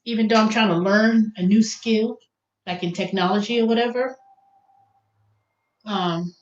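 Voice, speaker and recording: A woman's voice: mid-range voice, fairly even intonation, measured pace, highs cut off. An American woman in her early fifties chatting casually on a livestream, thinking aloud, repeating and correcting herself as she goes. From United States. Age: early 50s. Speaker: livestream host. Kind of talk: casual rambling livestream chat.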